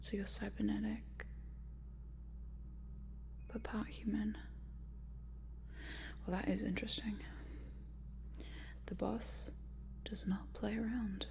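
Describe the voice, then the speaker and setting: A woman playing a quirky, lonely scientist in a whispered ASMR roleplay, softly muttering to herself as she tinkers. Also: mid-range voice, flat delivery, slow, gravelly, long pauses, a little hiss or hum, low-quality recording. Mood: curious, concerned. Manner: soft, hesitant, muttering to herself. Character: quirky lonely female scientist repairing a robot in her lab.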